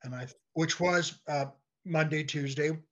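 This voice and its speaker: An older man chatting as an interview guest, halting and repeating words as he searches for his phrasing. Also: on the high side, fairly even intonation, fairly bright tone. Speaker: guest, playwright and comedy writer. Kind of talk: casual interview chat. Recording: dull, lo-fi voice track.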